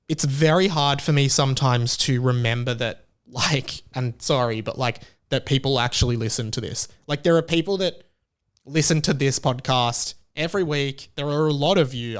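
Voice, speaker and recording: A man's voice: mid-range voice, clean tone. An Australian man hosting a casual solo podcast, rambling and self-deprecating, with many filler likes. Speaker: podcast host.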